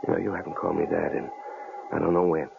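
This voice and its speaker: An earnest, stubborn husband with a lowish, gravelly voice that rises sharply when riled. The sound is tinny, like an old radio broadcast, with clear hiss. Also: male voice, flat delivery, fast talker. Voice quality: earnest, indignant. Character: protective middle-aged husband, stubborn and brave. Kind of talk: 1940s radio acting.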